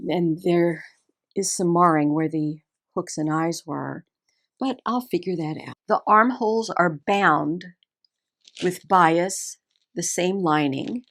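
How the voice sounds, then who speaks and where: A woman's voice: on the low side, slow, fairly bright tone. A woman with a Texas accent casually walking through a sewing alteration, admiring and carefully explaining details as she handles a dress. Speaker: seamstress host. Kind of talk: casual show-and-tell sewing video.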